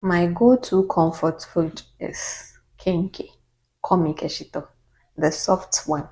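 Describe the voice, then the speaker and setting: Low-voiced Ghanaian woman vlogging. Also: steady tone, takes it slowly, darkish tone, low-quality recording. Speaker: YouTuber. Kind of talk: casual get-to-know-me Q&A.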